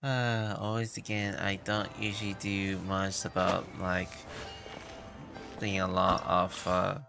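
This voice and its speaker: A man chatting casually on a gaming livestream in a halting, meandering way. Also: sits low, completely flat delivery, gravelly. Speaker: live streamer. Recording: game music behind the voice. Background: video game music.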